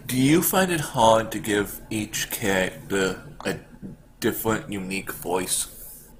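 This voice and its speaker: A man's voice: lowish voice, animated, slow. A man hosting a podcast in a casual, conversational style. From Canada. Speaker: podcast host. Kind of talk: casual chat. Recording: slight background noise.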